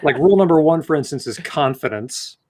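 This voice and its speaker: Man with lively, swooping pitch on comedy panel. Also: fairly quick. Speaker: podcast panelist reading from a book. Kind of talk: casual comedy panel chat with read-aloud passages.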